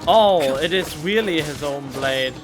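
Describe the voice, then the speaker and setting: Playful male game streamer, slightly high voice. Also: measured pace, music in the background. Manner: playful, joking asides. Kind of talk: casual game commentary.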